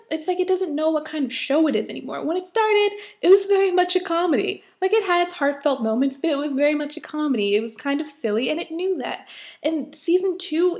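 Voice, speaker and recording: Young female vlogger, somewhat high pitch, a touch hoarse; casual, exasperated, opinionated rant delivery. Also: darkish tone. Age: young adult. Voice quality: a bit husky. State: slightly hoarse. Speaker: vlogger, fan of a TV show.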